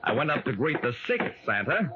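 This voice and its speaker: An actor with an American accent playing an affable private detective in an old radio drama. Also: male voice, mid-range voice, very animated, brisk, highs cut off, close to an everyday voice. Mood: narrating. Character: affable male private detective narrating his casebook. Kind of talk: 1940s-50s radio acting. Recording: noisy, band-limited old radio recording.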